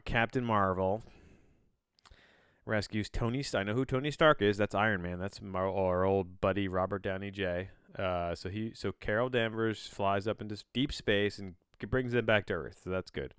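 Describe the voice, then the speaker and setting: A man hosting a solo podcast, chatting in a rambling, halting way, often backtracking mid-sentence with a bemused, self-deprecating manner. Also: lowish voice, a bit bright, smooth, dry recording, clean studio sound. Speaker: podcast host.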